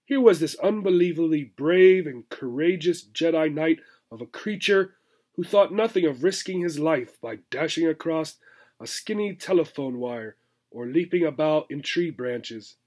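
Male teacher-reader, slightly high pitch, narrating a children's story expressively. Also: expressive, normal speed, darkish tone. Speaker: teacher reading a children's book aloud. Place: small furnished room indoors.

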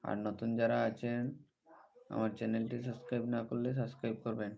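A man's voice: mid-range voice, completely flat delivery, fast, creaky, warm tone, a little hiss or hum. A man hosting a casual livestream, greeting viewers and repeatedly appealing to them to take part. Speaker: livestream host. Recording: dull lo-fi livestream audio.

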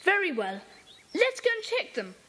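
A woman's voice: very high voice, huge pitch swings, moderate pace, pushed and bright, exaggerated voice. A woman playing a bossy young boy leader. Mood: decisive. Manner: decisive, bossy. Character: boy Peter, the group's leader.

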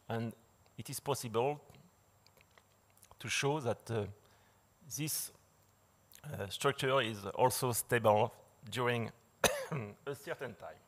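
A man lecturing in English, which is not his first language, in a large dark hall. He speaks through a podium mic, with many fillers and restarts. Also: mid-range voice. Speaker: conference speaker. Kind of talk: slide lecture.

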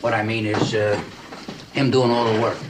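A man's voice: lowish voice, level tone, normal speed, natural voice. An actor playing a folksy, humble police lieutenant in a TV drama, rambling and hesitant as he asks his questions. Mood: folksy curiosity. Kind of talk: television detective drama acting. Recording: noisy old TV soundtrack.

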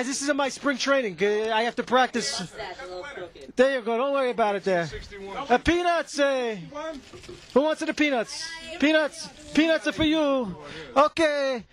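A man playing an excitable, chatty Italian-American stadium peanut vendor who rambles and repeats himself in broken English. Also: very high-pitched. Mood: excited hawking. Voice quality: broken English with stray articles. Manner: rambling, repetitive catchphrases. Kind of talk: improvised comic character patter.

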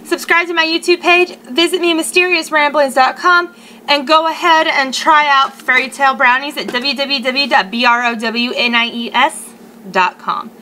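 Female food vlogger, 30s, high and bright voice, chatty and emphatic, casual home webcam review. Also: moderate pace. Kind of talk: casual unboxing review to camera. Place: living room at home. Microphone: webcam or laptop mic at arm's length. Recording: faint noise, home webcam sound.

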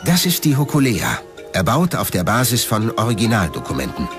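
A man's voice: lowish voice, wildly swooping pitch, brisk, over music. A man reading scripted narration as the narrator of a documentary.

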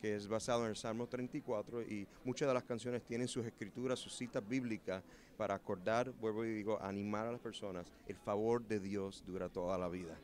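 A man's voice: on the low side, fairly even intonation, fairly quick, a little mellow. A man in his forties from New Jersey, a musician and producer answering interview questions earnestly at an expo booth. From New Jersey, USA. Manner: earnest, with frequent hesitations. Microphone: handheld mic.

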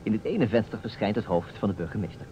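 A man's voice: fairly low pitch, expressive, quick pace, warm tone, natural voice. An actor playing a playful, insistent man who stages a detective puzzle in a radio play, with a teasing, emphatic manner. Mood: playfully insistent. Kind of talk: Dutch radio play acting. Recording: dull, noisy old radio recording.

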